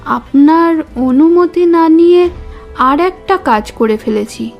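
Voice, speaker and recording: A woman's voice: very high voice, lively intonation, slow, vocal fry, highs cut off, exaggerated voice. A woman playing a watchful young neighbour giving careful, earnest witness testimony in an audio story. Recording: music bed under voice.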